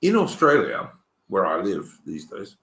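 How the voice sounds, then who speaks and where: An English man hosting a casual online political chat, halting and searching for words, with many ums and restarts. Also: sits low, huge pitch swings, fairly bright tone. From England. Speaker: host. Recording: echoey room.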